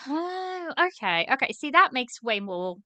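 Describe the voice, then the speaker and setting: Bright-voiced chatty woman podcast host. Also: high voice, measured pace, no echo. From Portugal. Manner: chatty, animated, many fillers. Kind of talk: casual podcast chat.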